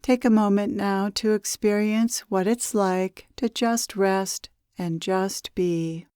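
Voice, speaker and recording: A woman gives a guided meditation with a smooth, clear voice. She speaks very slowly, pausing between phrases as she gently instructs the listener. The sound is dry, with no room echo, and her delivery is soothing and measured. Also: mid-range voice, steady tone. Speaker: meditation guide, spiritual channeler. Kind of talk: guided meditation, channeled spiritual teaching.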